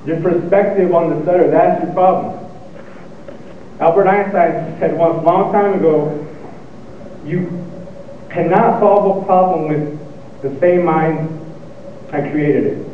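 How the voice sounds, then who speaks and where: A young man giving a motivational speech to an audience, speaking with a stutter and pausing, repeating words as he goes. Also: a little high, a little hiss or hum. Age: young adult. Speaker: student speaker. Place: lecture hall. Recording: echoey hall, tinny and far off.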